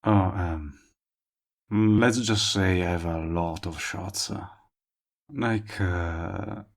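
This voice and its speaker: A man acts out a lovestruck villain in a romantic audio roleplay. His voice is very low and full of gravelly fry, and he takes his time with every line. He sounds smug and teasing, yet tender, as he courts the listener up close. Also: exaggerated voice. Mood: wistful, awkward. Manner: slow, murmuring, teasing. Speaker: voice actor.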